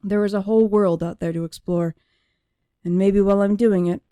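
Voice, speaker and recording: A woman voicing an anguished young female android who is searching for herself, in emotive, deliberate first-person audiobook narration. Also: medium pitch, steady tone, dark tone, clean tone, no room sound, clean studio sound, lightly acted. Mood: sad, reflective.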